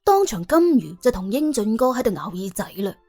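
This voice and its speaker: A woman with a Cantonese accent narrating a comic audiobook in a colloquial, wry and animated way. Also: mid-range voice, brisk, soft background music.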